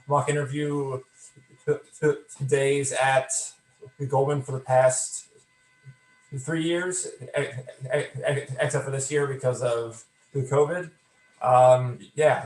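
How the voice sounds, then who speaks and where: A man's voice: mid-range voice, reverberant, rough recording. A man in his thirties who stutters, introducing himself on a panel with repeated words and blocked sounds. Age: 30s.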